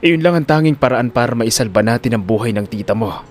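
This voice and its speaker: A man's voice: medium pitch, a little hiss or hum, voice pushed down, natural voice. A man voicing a scheming middle-aged father who feigns weak, pleading grief in an audio drama. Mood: coaxing. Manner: weak, slow, pleading delivery.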